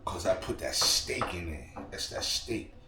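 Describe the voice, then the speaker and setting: Slangy New York man, hoarse, echoey kitchen. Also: deep voice, sing-song, measured pace. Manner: laid-back slangy chatter to viewers. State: slightly hoarse, froggy voice. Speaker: home cooking vlogger. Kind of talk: casual cooking vlog talk. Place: home kitchen. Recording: echoey room with background noise.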